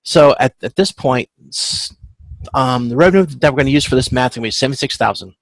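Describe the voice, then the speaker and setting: Man, instructor, gravelly fry, medium pitch, halting informal lecture, self-correcting, checks in often, dry sound. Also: dry recording, low-quality recording. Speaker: instructor teaching supply chain management. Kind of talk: informal lecture walking through spreadsheet calculations. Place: online video conference with screen share.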